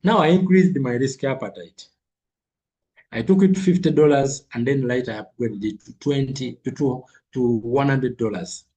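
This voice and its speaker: Husky man with a cold, coaching. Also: medium pitch, normal speed, darkish tone, low-quality recording. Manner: repeats figures and phrases for emphasis. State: has a cold. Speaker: trading coach. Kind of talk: live online trading lesson.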